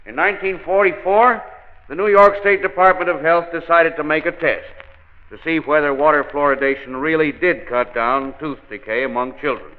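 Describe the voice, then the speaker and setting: A man acting as an earnest dentist in an old radio play. His fairly high voice is formal and explanatory. It comes through narrow and tinny, with long reverberation. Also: moderate pace, lightly acted. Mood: factual. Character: earnest, factual male dentist and society president. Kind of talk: 1940s radio drama acting.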